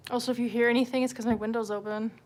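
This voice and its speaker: A woman's voice: a little high, flat delivery, racing, a little mellow. A woman hosting a casual livestream, rambling informally and self-deprecatingly, swearing freely as she talks. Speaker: livestream host. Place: indoors at home, window open. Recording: noisy room with open window.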